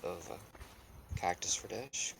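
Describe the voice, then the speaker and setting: Low-voiced man grumbling dryly while gaming. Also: a little slow, vocal fry, slight background noise, poor audio. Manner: dry, grumbling, deadpan complaints. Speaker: streamer co-host. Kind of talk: casual gaming chat.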